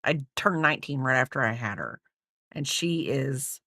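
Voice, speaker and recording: Chatty woman in her fifties, lively voice. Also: very deep voice, moderate pace, no room sound. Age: 50s. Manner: rambling, chatty, reads out viewer comments. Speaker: crochet livestream host. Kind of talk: casual livestream chat.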